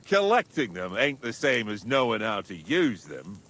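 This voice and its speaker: Lively, sensible medieval soldier. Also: male voice, medium pitch, takes it slowly, heavily acted. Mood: level-headed. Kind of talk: video game cutscene acting.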